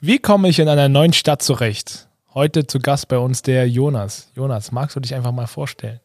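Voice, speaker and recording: A man from Leipzig co-hosting a career podcast, guiding the talk with clear questions. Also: mid-range voice, normal speed, a little mellow, soft background music. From Leipzig, Germany. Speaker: podcast co-host.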